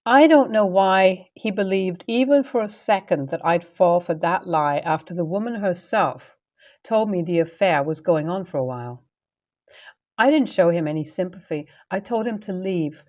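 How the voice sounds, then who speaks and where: A woman's voice: fairly low pitch, highs cut off. A woman reading a scripted first-person relationship story as a narrator.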